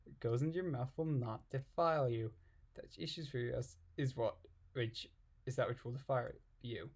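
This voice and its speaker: A man's voice: mid-range voice, normal speed, slightly rough. A man reading aloud and commenting casually in an irreverent, giggly, puzzled manner. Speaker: amateur reader and commentator.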